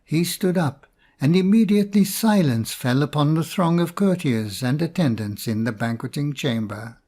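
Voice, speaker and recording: A man reading a storybook fairy tale in a measured, expressive storytelling manner. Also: on the high side. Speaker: storybook narrator.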